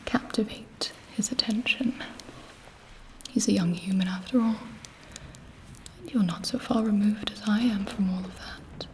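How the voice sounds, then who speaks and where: A woman playing an imperious, scheming, seductive vampire queen in an intimate ASMR roleplay, speaking in a deliberate, haughty whisper. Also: mid-range voice, a little hiss or hum, lightly acted, rough recording. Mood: sly, commanding.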